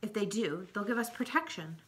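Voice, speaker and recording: A woman's voice: mid-range voice, expressive, fairly quick, close to an everyday voice. A woman reading aloud, voicing an anxious, fearful old grandmother. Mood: worried. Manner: anxious and pleading. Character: frightened, protective old grandmother.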